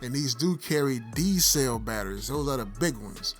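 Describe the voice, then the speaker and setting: A man's voice: mid-range voice, animated, normal speed. A middle-aged man giving a casual product review, explaining things directly to viewers. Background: background music.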